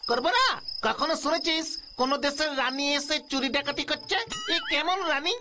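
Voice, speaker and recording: A woman's voice: sits high, normal speed, music in the background, close to an everyday voice. A woman voicing a mischievous, greedy, dim-witted little boy in a cartoon, with exclamatory, dramatic delivery. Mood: indignant. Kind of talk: cartoon dubbing in Bengali.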